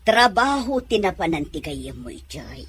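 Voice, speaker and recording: A woman's voice: lowish voice, very animated, measured pace. A woman acting a middle-aged Ilocano mother, worried and meddling, in an audio drama. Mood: worried, scolding. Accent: Filipino, Ilocano.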